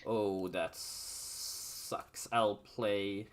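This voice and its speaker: A man's voice: lowish voice, steady tone, extremely slow. A man playing a card game with a friend on stream, casual and self-deprecating. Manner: self-deprecating, reacts with groans and laughs. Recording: noisy stream recording.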